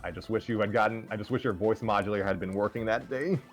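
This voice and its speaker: A man's voice: lowish voice, quick pace. A man hosting a casual group chat, talking in a hesitant, rambling way as he discusses writing. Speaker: host and writer of fan scripts. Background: background music.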